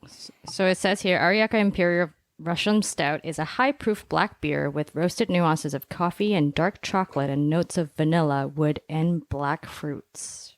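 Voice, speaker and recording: A woman on a podcast crew, giggly, reading a label aloud a little haltingly. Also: low-pitched, no echo.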